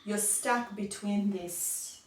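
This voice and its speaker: A young woman with a Ugandan accent, talking fast and chattily in a relaxed conversation, laughing now and then. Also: medium pitch. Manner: quick, chatty, laughs often. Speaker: co-host. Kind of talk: casual conversation. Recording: echoey room, distant mic.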